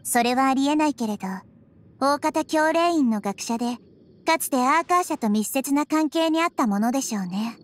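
A woman playing a wise, gentle childlike girl deity in an anime game, explaining things in a measured, thoughtful way. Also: high voice, lively intonation, a little hiss or hum. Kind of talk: Japanese anime game voice acting. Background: background music.

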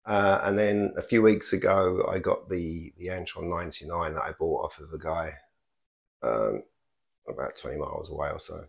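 An older man talking casually through a hobby vlog, explaining things in understated, hesitant, piecemeal phrases with a gravelly voice. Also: deep voice, fairly even intonation, moderate pace. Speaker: amateur radio hobbyist vlogger.

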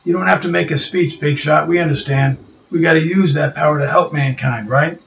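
Man putting on a pushy storybook tough guy, high and loud, surly and taunting; muffled roomy take. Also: brisk, creaky, slight background noise. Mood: gruff. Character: Ben the Thing, gruff surly strong man. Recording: dull roomy home recording.

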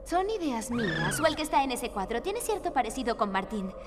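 A woman's voice: on the high side, sing-song, fairly quick, background noise. A woman voicing a brave, sensible teenage girl investigator in a Latin American Spanish cartoon dub, earnest and assertive. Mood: explaining. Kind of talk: dubbed cartoon acting.

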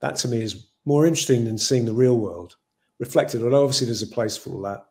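English-accented man in his forties, mellow dark voice, thoughtful and rambling, over a video call. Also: mid-range voice, fast talker. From Ireland. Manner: thoughtful, rambling with many "you know" fillers. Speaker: film director. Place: home room with shelves.

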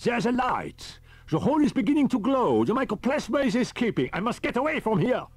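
A man voicing an excitable, precise male professor in an audiobook, speaking formally and deliberately. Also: very high-pitched, husky, dry recording, pitched above the performer's own voice, heavily acted. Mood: alarmed.

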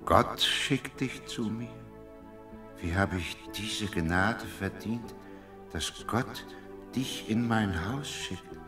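An actor playing a humble, middle-aged farmer and worried father in an earnest, expressive Bible audio drama. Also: lowish voice, very slow, over music. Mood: humble, astonished.

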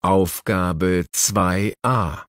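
A man's voice: sits low, steady tone, fairly bright tone, no room sound, studio quality. A man announcing exercise numbers and reading short texts for language course audio. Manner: very slow, deliberate.

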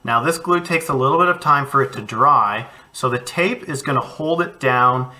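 Bright-voiced man teaching kids crafts. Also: medium pitch, normal speed. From Canada. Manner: relaxed, chatty, many ums and uhs. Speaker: art educator. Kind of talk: children's craft tutorial. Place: small home studio.

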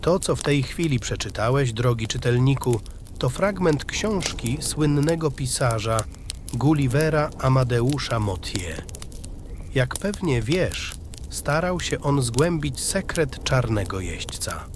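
A man's voice: medium pitch, lively intonation, slow pace. An actor playing a storyteller who addresses his reader in a scripted fantasy audio drama, with noise behind him. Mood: confiding. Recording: clear background ambience noise.

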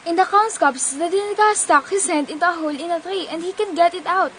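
A woman's voice: very high voice, quick pace, a bit bright. A woman playing a frightened little orphan peasant girl in a radio play, urgent and pleading. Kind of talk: amateur radio play reading. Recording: noisy amateur home recording.

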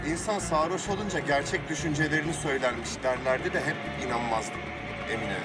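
A man's voice: on the high side, brisk, natural voice. A young male actor playing a bossy, protective man, scolding in exasperation in a TV drama. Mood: exasperated. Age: young adult. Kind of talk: Turkish TV drama acting. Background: loud music, car.

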